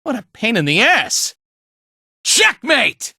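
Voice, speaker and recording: High, bright, slacker game hero. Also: male voice, huge pitch swings, takes it slowly, vocal fry, breathy edge, dry recording, clean studio sound, heavily acted. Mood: excited. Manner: drawling, easygoing, casual, with trailing tags. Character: laid-back, playful, slacker male fighter and guide. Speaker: video game voice actor. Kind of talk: animated video game voice lines.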